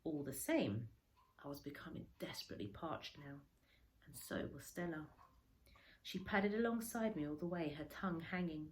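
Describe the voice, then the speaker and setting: A woman reading a children's story aloud like a teacher, dramatically, with pauses and stretched words for emphasis. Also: low-pitched, animated. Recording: echoey room, faint background noise.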